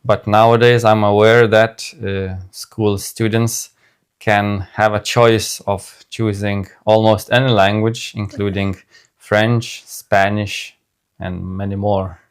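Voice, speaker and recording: Slow, smooth-voiced Lithuanian man. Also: on the low side, flat delivery. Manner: measured, careful, in short phrases. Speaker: podcast co-host. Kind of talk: casual conversational podcast.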